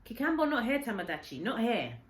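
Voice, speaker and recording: A woman voicing an earnest, gentle, sorrowful old Japanese man in a children's storybook, speaking halting, simple broken English. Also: on the high side, sing-song, pitched above the performer's own voice. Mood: weary concern. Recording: echoey room, faint background noise.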